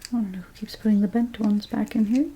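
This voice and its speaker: A woman doing a soft-spoken ASMR role play as a dressmaker, gentle and unhurried, her breathy voice often slipping into a whisper. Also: mid-range voice, steady tone, muffled recording. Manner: gentle, unhurried, reassuring explanations to a client. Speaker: ASMR creator role-playing a dressmaker at a fitting.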